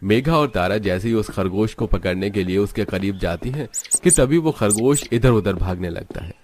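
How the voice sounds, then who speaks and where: Low-voiced man narrating a story. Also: a little mellow, slight background noise. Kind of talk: scripted cartoon story narration.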